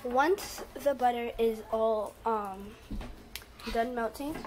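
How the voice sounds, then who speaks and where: Teen girl, bright voice, chatty home cooking demo with plenty of fillers, noisy phone recording. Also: fairly high pitch, takes it slowly. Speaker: guest cook. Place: home kitchen. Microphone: handheld phone camera.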